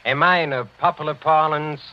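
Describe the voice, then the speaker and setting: An actor playing an agitated, sardonic aristocratic count in a theatrical 1940s radio drama style. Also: male voice, fairly high pitch, fairly even intonation, a little fast, a little hiss or hum, natural voice. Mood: insistent. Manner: theatrical, taunting. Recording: dull old radio broadcast recording.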